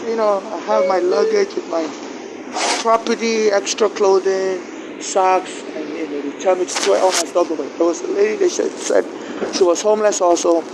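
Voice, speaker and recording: A man with a Nigerian accent, whose first language is not English, tells his story in a fairly high voice. He stumbles, repeats words and restarts his sentences as he goes. Steady traffic noise runs loud behind him. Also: normal speed. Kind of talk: personal grievance account.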